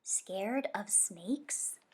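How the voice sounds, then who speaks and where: A woman's voice: mid-range voice, animated, drawn-out words, darkish tone, breathy edge, exaggerated voice. A woman voicing a blunt, teasing schoolgirl in a children's story, given to exclaiming. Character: Zoe, blunt teasing schoolgirl friend of Sam.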